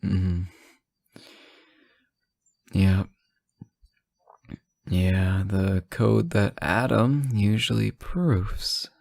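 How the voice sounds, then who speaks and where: A man playing a sleep-deprived, teasing boyfriend on an intimate late-night call, soft-spoken, hesitant and gently joking. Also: low-pitched, steady tone, extremely slow, vocal fry, pauses often, no echo, exaggerated voice. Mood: tired, apologetic. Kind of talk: intimate audio roleplay, one side of a phone call.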